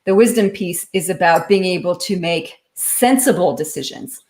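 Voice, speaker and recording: Woman guest, lower-pitched voice, clear and explanatory. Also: normal speed, a little mellow. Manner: articulate, explanatory, lightly laughing. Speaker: guest, leadership author and consultant. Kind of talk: live online interview.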